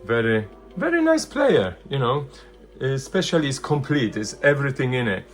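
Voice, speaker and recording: A man presenting a casual hands-on product demonstration, thinking aloud in loose, halting phrases. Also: mid-range voice, big pitch swings, soft background music. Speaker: vintage hi-fi video presenter.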